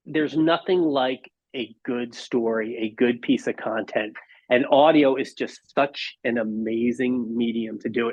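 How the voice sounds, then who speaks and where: A man's voice: on the high side, dry recording, muffled recording. A man speaking as a podcast guest, reflective and earnest, encouraging in his way of talking. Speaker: podcast guest, marketing executive. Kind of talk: reflective interview conversation.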